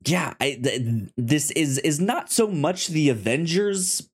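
A man co-hosting a podcast in casual film discussion, halting and often repeating words and syllables as he goes. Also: a little high, expressive, slightly rough. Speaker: podcast co-host.

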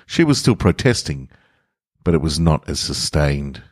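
A man's voice: a bass voice, big pitch swings, a little slow, dry recording, clean studio sound. A man, hosting a podcast and reading short fiction aloud. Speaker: podcast host reading own short stories. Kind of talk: podcast narration, casual intro and story reading. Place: hotel room with portable studio equipment.

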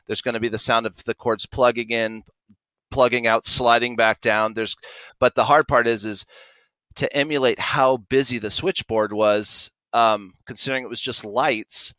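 A man's voice: medium pitch, fairly even intonation, normal speed, pushed and bright, no echo, low-quality recording. An American man, an audio drama producer, explaining his creative process as a guest in an interview. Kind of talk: interview answers, explanatory storytelling.

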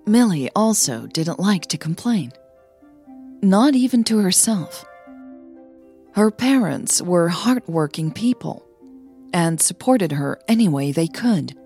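A woman narrating a scripted audio story as a podcast host, with measured, deliberate phrasing. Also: lowish voice, big pitch swings, warm tone, faint music behind.

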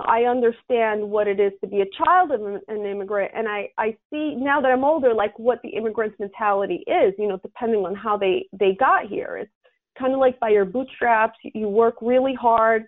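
A woman speaks in a fairly high voice over a thin, phone-like line. She is an advisor being interviewed, from the United States, and explains her points earnestly with examples. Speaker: interview guest, estate planning and tax advisor.

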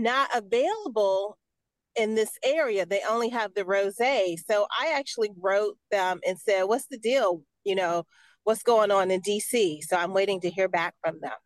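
A woman's voice: mid-range voice, no echo, rough recording. A woman who runs a wine club, explaining the wines and member discounts in a casual, businesslike way. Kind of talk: casual podcast chat.

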